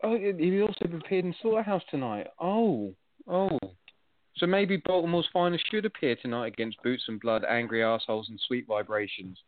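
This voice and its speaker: A man's voice: on the high side, normal speed. A man, a guest on a call, teasing and mock-pompous in joking banter. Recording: tinny call audio.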